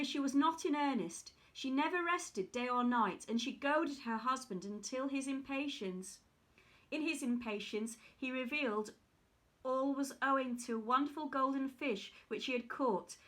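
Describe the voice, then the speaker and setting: A woman reading a fairy tale aloud in a careful, steady way with small slips. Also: sits high, moderate pace. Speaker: reader.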